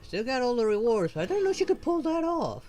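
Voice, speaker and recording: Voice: medium pitch, big pitch swings, fairly quick, darkish tone. A streamer giving casual live gameplay commentary, rambling and thinking aloud.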